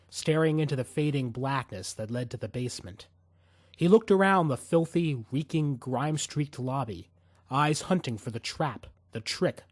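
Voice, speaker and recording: A man hosting a podcast and reading fiction from a script, earnest and measured, with deliberate phrasing. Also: medium pitch, no echo, clean studio sound. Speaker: podcast host and story reader. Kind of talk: scripted commentary and story narration.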